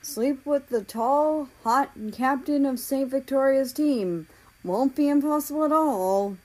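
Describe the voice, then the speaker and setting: A woman's voice: high voice, measured pace, darkish tone, pitched above the performer's own voice, low-quality recording. A woman voicing a sarcastic, slangy teenage football player in a story reading. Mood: grumbling. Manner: casual, grumbling, slangy. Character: cheeky, sarcastic teenage boy on a school football team.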